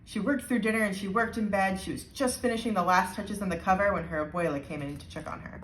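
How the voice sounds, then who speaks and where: A woman reading a children's storybook aloud with expressive narration. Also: mid-range voice, fast talker, slight background noise. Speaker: storybook reader. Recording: roomy, echoing indoor sound.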